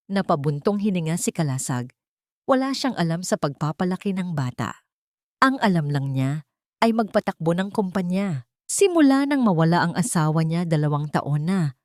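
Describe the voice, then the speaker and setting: A woman narrating a scripted audiobook story in a deliberate, dramatic way. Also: fairly low pitch, a little mellow, no room sound, studio quality.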